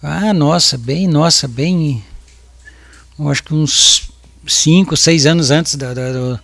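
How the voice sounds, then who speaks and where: A man's voice: a little high, slow pace, creaky, a bit bright, no echo. A man in his fifties giving testimony as a witness at a jury trial, hesitant and full of restarts and repeated words. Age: 50s. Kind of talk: courtroom testimony.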